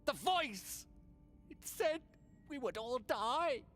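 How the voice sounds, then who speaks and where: A man's voice: very high-pitched, lively intonation, takes it slowly, fairly bright tone, faint music behind, full character voice. A man voicing a captured cultist creature in a video game, fearful yet fanatical, with a hissing, whispery delivery. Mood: panicked dread. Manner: fanatical, dramatic.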